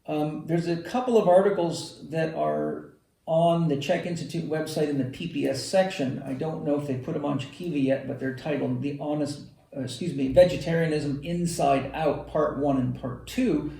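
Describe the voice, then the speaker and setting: A man's voice: fairly high pitch, expressive, normal speed, vocal fry, warm tone. A man hosting a podcast, answering a listener's question in a thoughtful, explaining way. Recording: roomy, from a distant mic.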